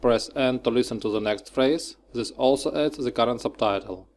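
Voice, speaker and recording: A man with a mid-pitched voice narrates a software tutorial. He works from a script and moves step by step through short, clipped instructions. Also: moderate pace.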